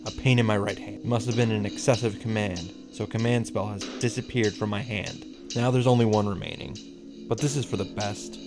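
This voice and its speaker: A man's voice: on the low side, fairly even intonation, fairly quick, music in the background, natural voice. Man reading as a solemn, proud young female mage. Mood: grave, tense. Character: young female mage, proud, grave, first-person story narrator. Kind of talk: dramatic reading of a visual novel.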